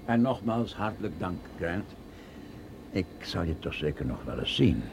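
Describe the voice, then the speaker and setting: Jovial male professor, radio drama: creaky lowish voice, hugely swooping intonation, chatty and emphatic. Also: sing-song, unhurried, a little hiss or hum, heavily acted. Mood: grateful, cordial. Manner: genial, reminiscing, emphatic. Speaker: actor in a radio play. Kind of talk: Dutch radio drama acting.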